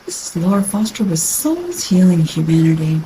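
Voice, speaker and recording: A woman guiding a yoga nidra meditation in a soothing, unhurried manner with long pauses, her phrases trailing into breathiness. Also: fairly low pitch, very animated, warm tone, a little hiss or hum, poor audio. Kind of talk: guided meditation.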